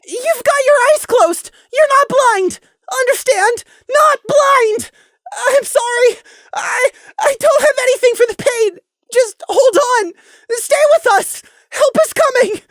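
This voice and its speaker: A woman voicing a frantic, furious male pony who shouts shrilly with a raw, strained throat. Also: very high voice, sing-song, slow pace, long pauses, no room sound, voice pushed up, exaggerated voice. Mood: frantic shouting.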